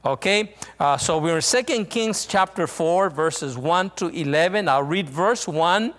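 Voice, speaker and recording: A man with a Mexican Spanish accent delivers a sermon as a visiting missionary. He speaks slowly and somewhat high for a man, pausing and restarting often with many fillers. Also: lively intonation, fairly bright tone, in a large hall. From northern Mexico.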